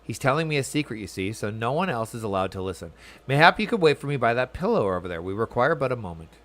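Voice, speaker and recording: A man's voice: medium pitch, sing-song, fast talker, a bit bright. A man reads aloud as an earnest young noble in a fantasy game. He is kindly and a little self-righteous. His phrasing is formal and archaic. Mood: kindly, reassuring. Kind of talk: reading game dialogue aloud.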